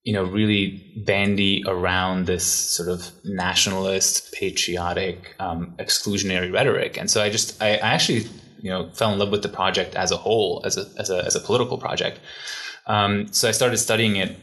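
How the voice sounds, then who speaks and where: A man's voice: deep voice, steady tone, normal speed, fairly bright tone. A man from Hungary, a guest expert, answering interview questions in a thoughtful, conversational way with frequent restarts.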